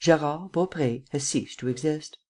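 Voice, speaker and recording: A man voicing a coolly sardonic, persuasive prince in an audiobook, speaking with deliberate, imperious command. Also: a little high, mellow, close to an everyday voice. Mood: firm, grave. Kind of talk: dramatic audiobook character acting.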